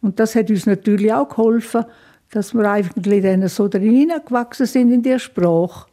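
An older woman with a Swiss German accent, recalling her childhood in a thoughtful interview, with frequent fillers and soft laughs. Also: a little high, moderate pace. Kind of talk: reflective life-story interview.

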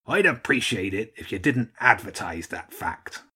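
Storybook old seagull archduke, played by a male reader: husky, gruff, commanding, blustering yet canny. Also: fairly low pitch, lively intonation, moderate pace, sharp tone, heavily acted. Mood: wry, relaxed. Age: older. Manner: blustering, barking orders.

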